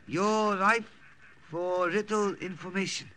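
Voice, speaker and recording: An actor playing a menacing, mockingly polite Japanese army colonel with formal, clipped English in an old radio drama. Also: male voice, high voice, fairly even intonation, pushed and bright, exaggerated voice. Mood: coolly bargaining. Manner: formal, clipped, deliberate, drops articles. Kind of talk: 1950s radio drama acting. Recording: old radio recording, faint noise.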